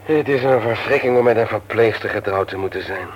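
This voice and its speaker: An actor in a Dutch radio play, playing a self-pitying, self-satisfied husband and office boss with a husky voice. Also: male voice, on the low side, fairly quick, muffled recording, slight background noise, close to an everyday voice. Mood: plaintive.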